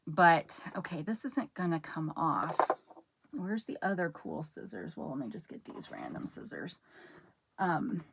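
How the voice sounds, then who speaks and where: Woman, young adult, fairly low voice, soothing, casual haul chat, rambling, self-interrupting. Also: very animated, measured pace, vocal fry, a little hiss or hum. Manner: rambling, chatty, self-interrupting while sorting items. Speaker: channel host.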